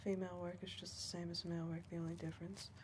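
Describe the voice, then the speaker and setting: A young woman playing a weary, defiant backwoods caretaker in a whispered ASMR roleplay, speaking softly and dryly, close to the mic. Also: fairly low pitch, flat delivery, rapid-fire, background noise, close to an everyday voice. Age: young adult. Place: dim indoor room. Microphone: close ASMR microphone.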